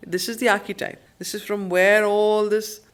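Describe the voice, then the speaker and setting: A woman teacher at a study circle, reciting poetry solemnly and explaining it with deliberate, emphatic phrasing. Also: mid-range voice, flat delivery. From India.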